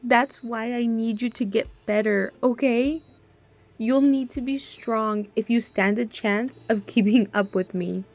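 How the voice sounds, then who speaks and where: An actress plays a devoted woman in love in a sci-fi audio drama. Her voice is high, emotional and spirited. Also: measured pace, darkish tone. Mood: tender, teasing. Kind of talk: radio drama acting.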